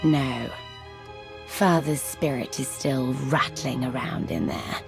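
A woman playing a scornful, volatile villainess in a video game, creaky-voiced and emphatic in her contempt. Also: very deep voice, sing-song, slow, music in the background, exaggerated voice. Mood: contemptuous. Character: scornful villainous daughter of dead emperor, volatile.

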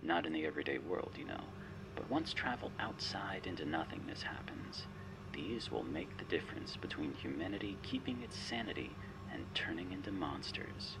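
A man's voice: deep voice, expressive, normal speed, vocal fry, background noise. A man playing a smug, menacing but playful artificial intelligence guiding a spy in an ASMR roleplay, wry, teasing and theatrical. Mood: cautioning, proud. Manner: wry, teasing, theatrical, often dropping to a whisper.

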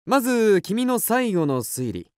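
Man playing a theatrical self-assured detective, animated delivery. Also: high-pitched, clear, resonant tone, dry recording, clean studio sound, exaggerated voice. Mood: confident, explaining. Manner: theatrical, deliberate explaining. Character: cool, self-assured young male amateur detective. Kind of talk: Japanese radio drama acting.

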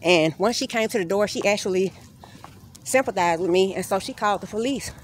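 A woman narrating a walking vlog on city streets, filming on her phone, telling her story in an earnest, steady way. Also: medium pitch, a little fast. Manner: earnest, steady, recounting grievances. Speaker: vlogger. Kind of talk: walking tour vlog, personal account. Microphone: phone camera held while walking. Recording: faint street noise outdoors.

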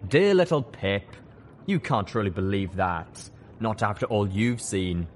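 A man's voice: on the low side, expressive, measured pace, slight background noise. A man voicing a condescending, grandiose male pony leader, pompous and patronising, in an audiobook. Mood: condescending, amused. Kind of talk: dramatised audiobook storytelling.

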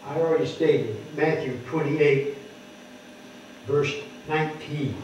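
An older man preaching at a lectern, explaining scripture word by word and stressing key words loudly. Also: fairly high pitch, fairly even intonation, slow, recorded from a distance, a little hiss or hum. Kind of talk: sermon teaching scripture. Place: wood-paneled church hall, speaking at a lectern. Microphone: microphone on the lectern, heard from a distant camera. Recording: echoey hall heard from afar, dull.

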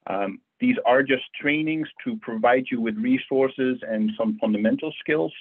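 A man's voice: medium pitch, normal speed, slightly rough. A man from the Netherlands, presenting a friendly banking webinar with lots of fillers over a video call. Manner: friendly, conversational with frequent fillers. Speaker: bank financial educator. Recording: tinny phone-like audio.

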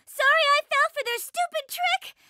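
An actress voicing a bubbly, impulsive teenage heroine who chatters in an excitable way. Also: female voice, extremely high pitch, moderate pace, heavily acted. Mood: excited.

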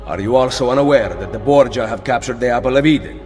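A man's voice: mid-range voice, fast, in a large hall, soft background music, natural voice. A man playing a wry, confident assassin. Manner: calm, wry, understated. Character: confident Italian male assassin, dry wit. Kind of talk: video game cutscene dialogue.

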